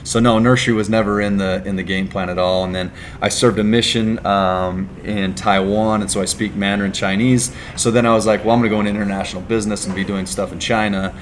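A young man from California, a business founder telling his story in a casual interview, rambling and relaxed with lots of "like" and "right?". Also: on the low side, fast, hardly any pauses, slight background noise.

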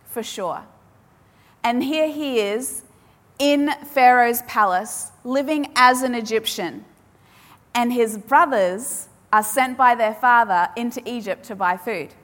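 A woman preacher, a native Polish speaker, delivers a sermon in an emphatic style, repeating key lines for effect. Her voice sits a little high. It carries with the long reverb of a large hall. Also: fairly bright tone. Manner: emphatic, repeats key phrases with dramatic pauses.

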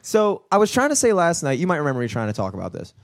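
A man's voice: on the high side, huge pitch swings, fast talker, no room sound, clean studio sound. Young Baltimore man, casual rambling. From Baltimore, USA. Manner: loose and rambling, heavy on fillers and swearing. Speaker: podcast co-host. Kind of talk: casual chat.

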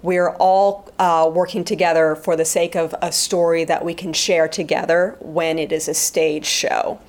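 Thoughtful woman with a lowish voice. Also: level tone, a little slow, darkish tone. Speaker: guest, Foley artist and theater performer. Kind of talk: reflective interview talk.

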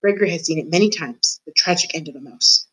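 A woman's voice: low-pitched, fast, a bit bright, low-quality recording. A woman voicing an old male dungeon jailer who talks in a menacing, theatrical way and calls himself by name.

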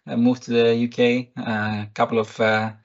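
A man with a Greek accent, a recruitment technology executive answering interview questions in a halting way, full of fillers and restarts. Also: medium pitch, flat delivery, muffled recording. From Greece. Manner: hesitant, many fillers and restarts.

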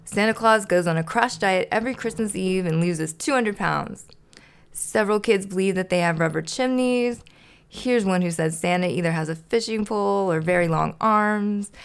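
A woman's voice: mid-range voice, creaky, clear, resonant tone, close to an everyday voice. A woman voicing an earnest, apologetic and somewhat hesitant male puppet character in a children's story.

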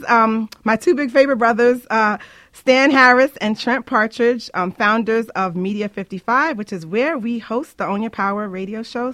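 A woman's voice: on the high side, fairly bright tone, no room sound. A woman hosting an informal internet radio show, chatting to listeners in an upbeat, emphatic way.

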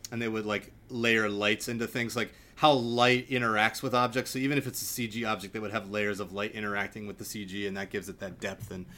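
A man's voice: lowish voice, little pitch movement, fairly quick, hardly any pauses, sharp tone. A man hosting a podcast, talking about film in a casual, effusive, rambling and informal way. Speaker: podcast host. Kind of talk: casual film discussion chat. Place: home, remote recording. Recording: clearly audible background noise.

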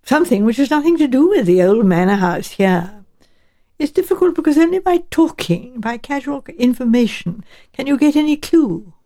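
A woman voicing a courteous, frank male English professor in an audiobook, speaking in a measured and direct way. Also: high voice, sing-song, dark tone. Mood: measured, informative.

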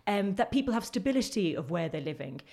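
A woman's voice: mid-range voice, very animated, fast talker, darkish tone. A young woman from London with a London accent, a guest on a casual podcast, talking earnestly with many fillers and restarts. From England. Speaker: guest, local election candidate.

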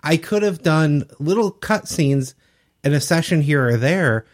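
A man's voice: a little high, expressive, a little slow. A man co-hosting a podcast, chatting casually from his experience as a game master. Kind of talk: casual chat about running games.

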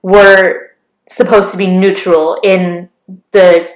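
A woman's voice: fairly low pitch, flat delivery, slow, reverberant, low-quality recording. A young woman presenting history in a casual chat, explaining with many fillers and laughing easily.

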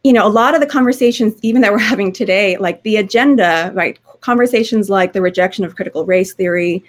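A woman's voice: mid-range voice, fast. A woman historian with a scratchy voice giving informal panel remarks, conversational and searching for her words.

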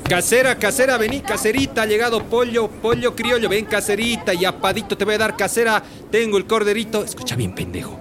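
An actor playing a crooked, pushy market butcher in a radio drama, with a Bolivian accent and a slangy, singsong vendor's way of talking that wheedles and bullies. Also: male voice, high voice, lively intonation, fairly quick, hardly any pauses, crowd noise. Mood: wheedling sales pitch. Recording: clear outdoor street noise behind.

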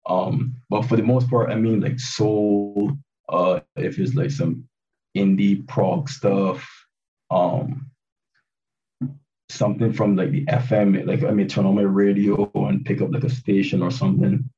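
Man, late twenties, from Barbados, hesitant casual talk, mellow tone, distant dull recording. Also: lowish voice, level tone, a little slow. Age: 20s. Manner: hesitant, many fillers and restarts. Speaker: guest hip hop producer. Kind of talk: casual interview chat. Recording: dull, distant lo-fi call audio.

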